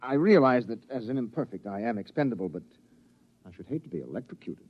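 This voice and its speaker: An articulate outcast man, sardonic and defiant, with very lively swings of pitch. He speaks in the manner of fifties radio drama. The old recording is dull and lo-fi. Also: fast, husky. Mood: wry. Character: wry, defiant, educated male outcast genetic specimen. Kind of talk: 1950s radio drama acting.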